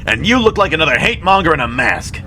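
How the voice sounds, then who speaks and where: An actor playing a brave, commanding soldier hero in an audio drama, defiant and resolute. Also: male voice, fairly high pitch, big pitch swings, quick pace, bright, forceful tone, exaggerated voice.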